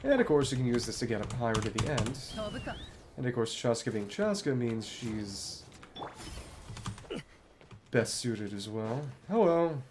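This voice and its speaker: A man giving casual solo commentary as a game streamer, musing aloud in short, halting remarks. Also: mid-range voice, lively intonation, noisy background.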